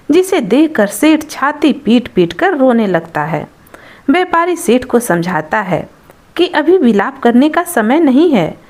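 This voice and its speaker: A woman's voice: on the high side, darkish tone. A woman narrating a scripted folktale as a storyteller.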